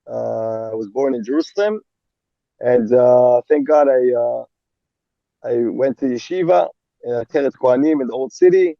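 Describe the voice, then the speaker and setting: Gravelly man, halting speech. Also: mid-range voice, dry recording. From Israel. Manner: halting, many fillers and restarts. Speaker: army rabbi. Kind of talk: interview guest. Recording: dull, dry sound.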